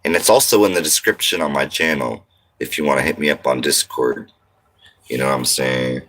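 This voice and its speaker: A man's voice: extremely low pitch, brisk, fairly bright tone. A man from Montana hosting a casual livestream from his RV, reading comments in a laid-back, slangy, rambling way. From Montana, United States. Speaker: livestream host.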